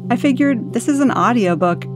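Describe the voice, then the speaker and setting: A woman's voice: on the high side, lively intonation, brisk. Middle-aged woman narrating over guitar music. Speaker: author and audiobook narrator. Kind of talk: scripted first-person audiobook narration.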